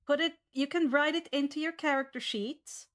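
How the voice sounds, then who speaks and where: A woman's voice: high voice, fairly even intonation, normal speed, a bit bright. A woman who is not a native English speaker, narrating a tabletop fantasy game as game master.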